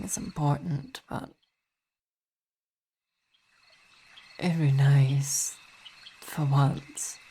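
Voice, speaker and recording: A dark, mellow-voiced vampire wife played by a woman in an intimate ASMR roleplay, weary and imperious but tender. She speaks in formal, hesitant phrases at an unhurried pace, with night insects chirping behind her. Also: very deep voice, slight background noise, exaggerated voice. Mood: wistful, affectionate. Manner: measured, hesitant, formal wording.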